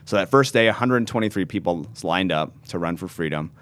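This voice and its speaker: A man's voice: low voice, expressive, brisk, a bit bright, no echo. A man in his thirties, a pastor, telling his story earnestly in an interview and often restarting his words. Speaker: pastor and ministry founder.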